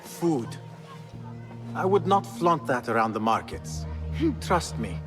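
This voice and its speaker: An actor playing a young street thief in a video game, idealistic, eager and a little cocky. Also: male voice, medium pitch, expressive, slow, over music. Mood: playful, confident. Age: young adult. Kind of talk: cinematic video game acting.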